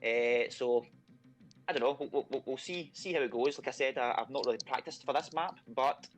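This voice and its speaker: A man's voice: medium pitch, moderate pace, soft background music. A man from Scotland with a thick Scottish accent, chatting casually as a contestant being interviewed, with many fillers and a self-deprecating tone.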